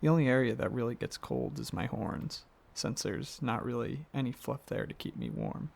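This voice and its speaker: A man playing an affectionate, excitable dragon boyfriend in an ASMR roleplay, talking casually and a little haltingly, with a gravelly voice. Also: medium pitch, heavily acted. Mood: playful, affectionate. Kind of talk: ASMR roleplay, softly addressing the listener as partner.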